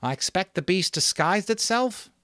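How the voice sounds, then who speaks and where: Man as a timid high-pitched young savage boy. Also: expressive, moderate pace, bright, forceful tone, no echo. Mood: uneasy questioning. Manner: hesitant, questioning. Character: timid young savage boys questioning their chief.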